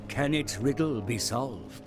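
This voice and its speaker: An actor playing an eerie, deferential undead pirate adviser in a video game, speaking formally and portentously. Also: male voice, mid-range voice, animated, takes it slowly, music in the background. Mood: solemn, portentous. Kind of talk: theatrical scripted game voice acting.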